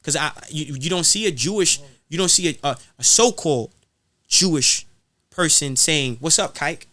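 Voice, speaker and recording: A man's voice: a little high, moderate pace, bright, forceful tone, no echo. A man speaking in an impassioned, preachy podcast style, repeating phrases for emphasis. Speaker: guest rapper being interviewed.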